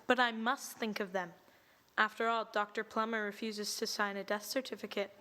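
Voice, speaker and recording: A woman's voice: medium pitch, steady tone, moderate pace, sharp tone, close to an everyday voice. A woman playing a fretful, formal matron who runs the household, in an audio drama. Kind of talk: radio drama acting.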